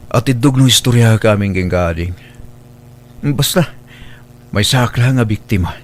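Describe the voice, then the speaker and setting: A man's voice: on the low side, moderate pace, a little hiss or hum, natural voice. An actor playing an earnest adult son and father in an Ilocano radio drama, with a plaintive delivery. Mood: regretful.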